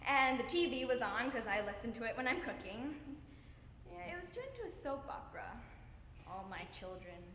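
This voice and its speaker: Girl acting as a heartbroken mom in a stage monologue, bright intense voice, halting and theatrical, big echoey room. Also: on the high side. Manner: halting, emotional, theatrical delivery. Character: grieving mother Madeline, anguished and tender, reliving loss. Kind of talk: dramatic interpretation monologue on stage. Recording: echoey hall with noise.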